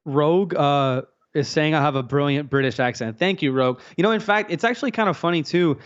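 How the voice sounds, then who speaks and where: A man's voice: on the high side, brisk, clear, resonant tone. Rambling crude-joking man on dull audio. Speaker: podcast guest. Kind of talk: casual livestream chat.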